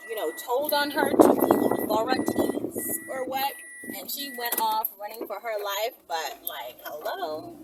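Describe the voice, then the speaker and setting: A woman telling stories to her viewers in a casual, playful, slangy and animated way while riding in a vehicle. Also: on the high side. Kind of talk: casual chatty storytelling. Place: moving vehicle while driving. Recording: loud vehicle noise, distant mic. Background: loud road and vehicle noise.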